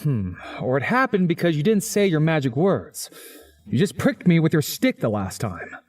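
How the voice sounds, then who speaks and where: A man's voice: fairly high pitch, sing-song, moderate pace, darkish tone, faint music behind. A man playing a cocky, sceptical young man who narrates a visual novel game with dramatic flair. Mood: doubtful.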